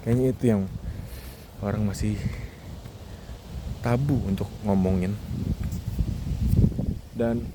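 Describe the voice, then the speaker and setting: A man's voice: mid-range voice, mellow. A man of thirty, talking casually and reflectively to himself as a podcast host on a walk outdoors. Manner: informal, reflective, self-talking. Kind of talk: casual walking monologue, vlog. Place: outdoors on a campus football field. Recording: noisy outdoor ambience. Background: clear outdoor background noise.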